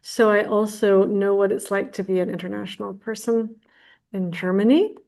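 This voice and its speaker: A woman's voice: medium pitch, steady tone, moderate pace, vocal fry, darkish tone. American woman professor, chatty presenter on a video call, easygoing tone. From United States. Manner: chatty, jokes and laughs lightly. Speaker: professor presenting a degree program. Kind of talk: online info session presentation.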